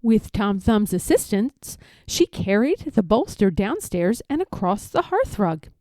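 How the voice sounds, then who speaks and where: Woman storyteller, high mellow voice, very animated intonation, measured emphatic read-aloud for children. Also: no echo. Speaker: storybook reader.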